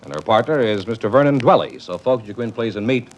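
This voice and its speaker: A man's voice: medium pitch, expressive, fast talker, poor audio. A man with an American accent announcing scores and introducing contestants on a broadcast quiz show. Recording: old broadcast, loud music and audience.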